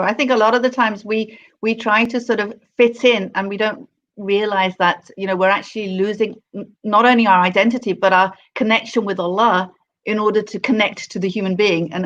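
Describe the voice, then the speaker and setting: A woman's voice: medium pitch, steady tone, fairly quick, a bit bright. A woman host poses her questions hesitantly, with plenty of ums as she searches for the words. The recording is dull and lo-fi, lacking clarity. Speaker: interview host. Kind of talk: conversational interview.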